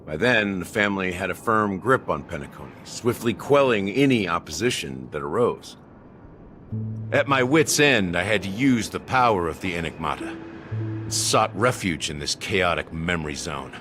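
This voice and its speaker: A world-weary insider lays out a dark conspiracy in a video game story. His low voice moves expressively through grave, measured, theatrical lines, with music clearly playing behind him. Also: expressive. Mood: somber, revealing.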